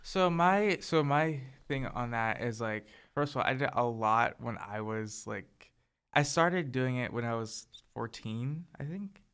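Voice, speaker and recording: A young man co-hosting a casual chat, soft-spoken and hesitant, filling his talk with "like" and "um". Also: mid-range voice, lively intonation, measured pace, clear, resonant tone. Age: young adult. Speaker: podcast co-host.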